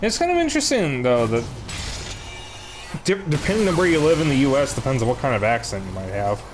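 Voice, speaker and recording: A man with a Chicago accent, streaming a video game and chatting casually with viewers in a relaxed, rambling way. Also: mid-range voice, a little fast, over music. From Chicago area, USA. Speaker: live streamer. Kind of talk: casual chat while playing a video game.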